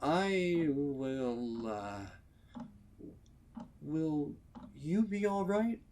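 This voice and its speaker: A man's voice: a little high, very animated, dark tone, a little hiss or hum, pitched above the performer's own voice, heavily acted. A man voicing an awkward, concerned stallion with a hesitant, shaky delivery in a story reading. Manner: hesitant, halting.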